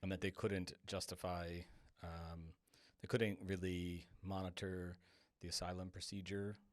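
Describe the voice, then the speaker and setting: A man's voice: low-pitched, flat delivery, measured pace, dry recording, studio quality. A man hosting a podcast interview, laying out the background before asking the guest a question. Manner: explaining, then asking. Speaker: podcast host.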